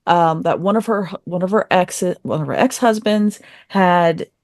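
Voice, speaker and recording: A woman's voice: lowish voice, normal speed, a little mellow, no echo, studio quality. A woman on a podcast panel, chatting casually as she shares her opinions in a review.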